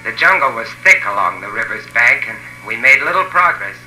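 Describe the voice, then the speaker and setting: Grim jungle survivor, bright vintage radio sound. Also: male voice, medium pitch, expressive, a little fast, echoey room, rough recording. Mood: grim, weary. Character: weary male jungle survivor recounting his ordeal, grim and tense. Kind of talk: 1950s radio drama acting and narration. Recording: old radio transcription, boxy, hissy.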